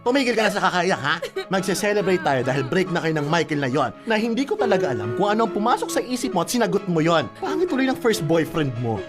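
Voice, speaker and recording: A woman's voice: lowish voice, sing-song, quick pace, hardly any pauses. A woman playing a fiercely protective older sister in a radio drama, scolding and blunt but loving, with emphatic delivery. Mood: indignant, scolding. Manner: scolding, emphatic, with frequent tag questions. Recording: music under the voice.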